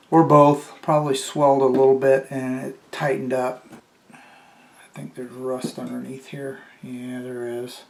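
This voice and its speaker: A man in his fifties talks unhurriedly through a repair at his workbench, half to himself, with easy asides. His voice comes from a camera mic a few feet away, and steady workshop noise and tool clicks sit behind him. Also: medium pitch. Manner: relaxed, talks to himself while working, cheers at small wins. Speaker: antique radio restorer. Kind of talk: hands-on workshop commentary. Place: home workshop.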